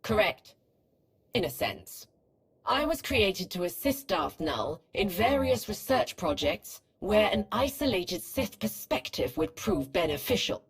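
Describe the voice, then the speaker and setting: A woman's voice: mid-range voice, very animated, a little slow, husky, full character voice, poor audio. A woman playing a cold, ruthless female Sith research hologram in a video game, speaking in a formal, detached, clinical manner. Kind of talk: scripted video game acting.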